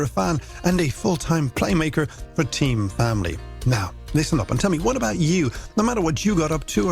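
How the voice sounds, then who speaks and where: A man's voice: a little high, gravelly. An Irish man presenting a scripted music radio show with playful, wordy, alliterative patter. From Ireland. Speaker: radio host.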